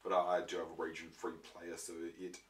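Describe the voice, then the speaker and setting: A young man with a croaky voice from a throat infection, chatting casually to his viewers with lots of ums and restarts. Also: low-pitched, completely flat delivery, fairly quick. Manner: rambling, many ums and restarts. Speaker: vlogger showing his disc collection. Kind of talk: casual chat to camera. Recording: roomy, mic far off, faint noise.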